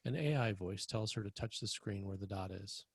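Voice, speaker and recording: Low, gravelly male narrator. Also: normal speed, no echo, studio quality. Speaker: narrator reading stage directions. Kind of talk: scripted narration.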